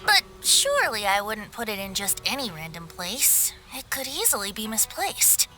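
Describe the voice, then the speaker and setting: A woman's voice: mid-range voice, very animated, moderate pace, sharp tone, faint music behind, poor audio. A woman voicing a carefree young male bard in a video game, airy, playful and courteous. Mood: explaining.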